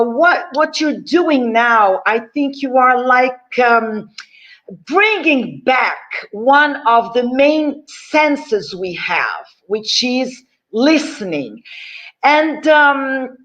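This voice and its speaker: A woman from Brazil with a Brazilian Portuguese accent, asking an interview question in English, halting and searching for words. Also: on the high side, fairly bright tone, highs cut off. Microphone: video call.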